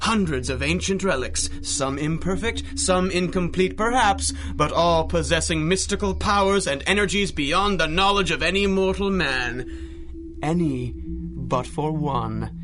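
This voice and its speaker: An actor playing a malevolent, pompous sorcerer villain with theatrical menace in a pulp radio drama. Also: male voice, on the high side, animated, measured pace, fairly bright tone. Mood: gloating. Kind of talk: radio drama acting in 1930s pulp style.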